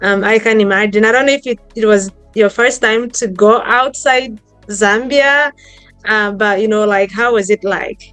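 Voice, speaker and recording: A woman's voice: mid-range voice, moderate pace, fairly bright tone, smooth, dry recording, soft background music. A woman hosting an interview, asking questions in a chatty way full of "you know" and "um". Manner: friendly, chatty, many fillers like "you know". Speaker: host. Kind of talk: casual interview talk.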